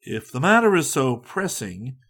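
A man voicing a solemn, self-possessed gentleman who speaks slowly and calmly with dry politeness. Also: medium pitch, slightly rough, exaggerated voice. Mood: deliberate. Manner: slow, calm, dryly polite.

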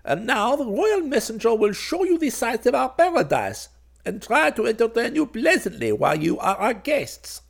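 A man's voice: extremely high pitch, very animated, moderate pace, creaky, pitched above the performer's own voice, exaggerated voice. A man voicing a vain, pompous bird king in a storybook, speaking in a grandiose, gracious and self-admiring manner. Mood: gracious, preening. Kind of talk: storybook character voice.

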